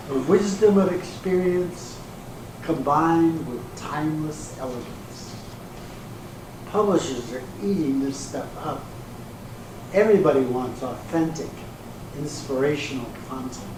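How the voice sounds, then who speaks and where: A man acting in a readers theater piece as a slick, smooth-talking promoter with a grandiose, placating salesman's patter. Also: on the high side, slow, pauses often. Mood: grand salesman's pitch. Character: slick, smooth-talking male promoter pitching a glamour calendar. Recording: echoey hall from far off, hissy room noise.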